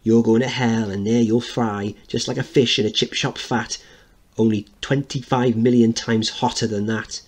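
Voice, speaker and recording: A man's voice: mid-range voice, steady tone, normal speed, natural voice. A man voicing a cocky, bullying boy who leads a street gang, in a dramatic reading of a play. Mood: taunting, chanting.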